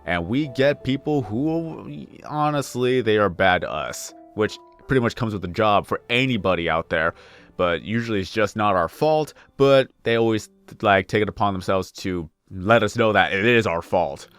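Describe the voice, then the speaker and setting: A young man with a deep baritone voice chatting casually over gameplay, rambling and correcting himself as he goes, pushing extra energy into his delivery. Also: moderate pace, a bit bright, smooth. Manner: rambling, self-correcting, repeats phrases; puts on extra energy for videos. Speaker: gaming YouTuber. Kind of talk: casual let's play commentary.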